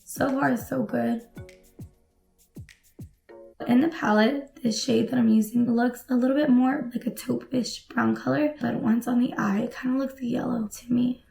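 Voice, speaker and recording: Hoarse, gravelly young woman vlogger. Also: a little high, unhurried, soft background music. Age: young adult. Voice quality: raspy. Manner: relaxed, chatty product commentary while applying makeup. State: hoarse, recovering from lost voice. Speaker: beauty vlogger. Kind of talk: casual makeup tutorial chat. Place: small home room, indoors.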